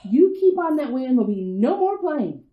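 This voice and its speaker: A woman's voice: high voice, sing-song, fairly quick, muffled recording. A woman playing an anxious mother in a radio drama, who stammers and trails off nervously. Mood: stern.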